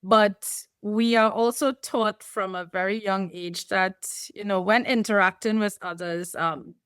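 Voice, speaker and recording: A woman from Trinidad and Tobago with a Trinidadian accent, explaining things in a measured way as a podcast guest. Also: medium pitch, steady tone, a bit bright, smooth, no echo, studio quality. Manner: explanatory, measured, with light laughs. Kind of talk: podcast interview.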